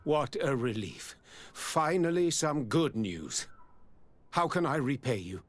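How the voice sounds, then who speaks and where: An actor playing a dignified, diplomatic governor in a game, formal and deliberate. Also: male voice, medium pitch, animated, dry recording. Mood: relieved, gracious.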